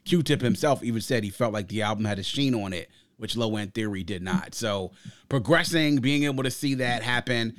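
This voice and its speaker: A man talks about albums like an opinionated podcast host. His delivery is casual and slangy, with emphatic points over a mid-pitched voice. The sound is dry, with little room on it. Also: normal speed, dry recording. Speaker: podcast host reviewing music. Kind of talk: casual enthusiastic album commentary.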